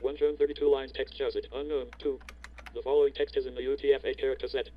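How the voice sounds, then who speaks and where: Robotic male screen-reader voice, mid pitch, lo-fi, slight key clicks behind. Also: flat delivery, fast talker. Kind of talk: synthetic read-out of text and characters. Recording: dull computer speaker, typing noise.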